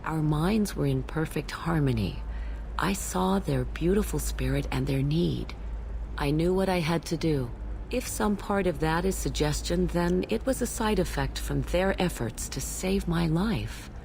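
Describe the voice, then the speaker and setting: A woman playing a serene, devoted alien in a video game, speaking calmly, earnestly and formally. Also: deep voice, unhurried, gravelly, slight background noise, exaggerated voice. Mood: serene, fervent.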